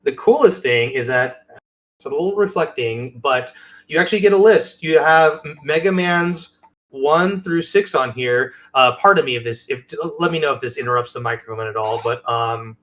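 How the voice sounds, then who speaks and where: A man co-hosting a podcast in casual show-and-tell chat, halting and interrupting himself as he presents. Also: medium pitch, fairly bright tone. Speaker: podcast co-host.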